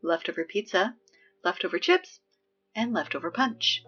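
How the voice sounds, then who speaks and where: A woman playing an exasperated, sarcastic high school announcer in a scripted comedy audio drama, dryly officious with conversational asides. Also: expressive, normal speed, a bit bright, husky, highs cut off, soft background music. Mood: wry, resigned.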